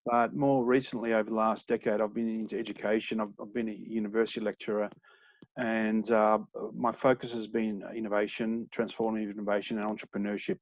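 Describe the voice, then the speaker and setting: A man with a low, flat, even voice speaks in a relaxed, chatty way. The audio is dry and dull, like lo-fi video call sound. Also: brisk, dry recording. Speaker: entrepreneur and former lecturer. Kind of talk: self-introduction in an online meeting.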